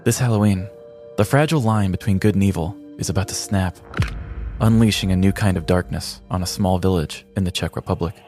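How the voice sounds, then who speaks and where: A man's voice: low-pitched, a little fast, a little mellow, soft background music. An American man from Missouri co-hosting a podcast, moving between casual chat and scripted storytelling. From small-town Missouri, US. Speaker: podcast co-host.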